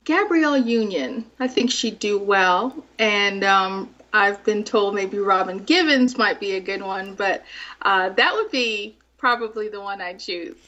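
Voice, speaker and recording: A woman's voice: medium pitch, measured pace, sharp tone. A woman from South Florida, a native French speaker, giving conversational answers as an interview guest, in lo-fi sound. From South Florida, multi-ethnic Caribbean family. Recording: dull, lo-fi sound.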